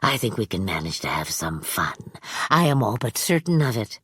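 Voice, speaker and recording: A woman voicing a sinister, cold old man who hisses and speaks low. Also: sing-song, normal speed, husky, no echo, full character voice. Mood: sly menacing. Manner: hissing, low whispers.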